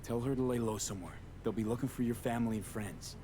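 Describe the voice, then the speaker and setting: An actor playing a mercenary who calmly reassures a rescued captive, steady and low-key. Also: male voice, fast talker, natural voice. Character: calm male mercenary rescuer. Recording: video game audio with background noise.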